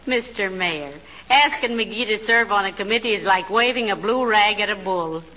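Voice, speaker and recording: An actress playing a sensible, teasing wife with dry wisecracks in a radio comedy. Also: female voice, medium pitch, moderate pace, bright, forceful tone. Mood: wry, teasing. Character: wry, sensible, teasing wife of a foolish husband. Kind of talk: 1940s radio comedy acting. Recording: muffled, noisy old radio broadcast.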